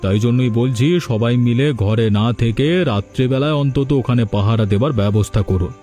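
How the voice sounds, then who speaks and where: A man acting a calm, earnest helpful stranger. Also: mid-range voice, moderate pace, faint music behind, lightly acted. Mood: reassuring. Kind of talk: cartoon voice acting in a folk-tale drama.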